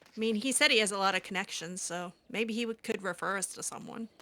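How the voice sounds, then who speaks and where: A woman's voice: medium pitch, fast, bright, forceful tone. A woman improvising in-character commentary on a game playthrough, theatrical, sarcastic and easily exasperated. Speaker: gamer roleplaying her character.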